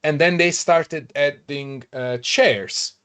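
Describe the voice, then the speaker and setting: A man, a podcast host, talking casually in a halting way with many restarts and fillers. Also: fairly high pitch, bright, forceful tone, smooth. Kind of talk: casual panel chat.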